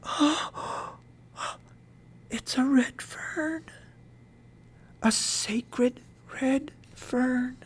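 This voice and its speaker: A narrator voicing a gentle, devout country mother with a tender, breathy, awed manner in a storybook. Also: high-pitched, very slow, pauses often, voice pushed up, heavily acted. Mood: hushed awe.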